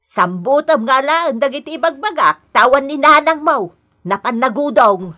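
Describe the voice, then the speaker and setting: An actress playing a demanding, scolding grandmother and mother-in-law in a radio comedy drama, with a nagging, drawn-out delivery. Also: sits high, lively intonation, sharp tone. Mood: bossy.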